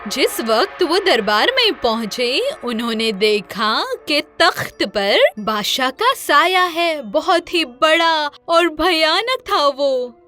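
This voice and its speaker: A woman's voice: sits high, lively intonation, takes it slowly, few pauses, bright, forceful tone. A woman narrating a scripted children's fairy tale as a storyteller. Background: background music.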